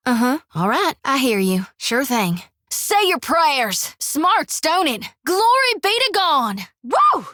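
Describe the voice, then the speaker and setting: A woman's voice: high voice, big pitch swings, slow pace, few pauses, pushed and bright, no echo, exaggerated voice. An actress playing a cheerful, devout young female priest who talks in a folksy drawl full of old-fashioned country slang. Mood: battle. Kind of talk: video game voice lines. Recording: dry studio booth.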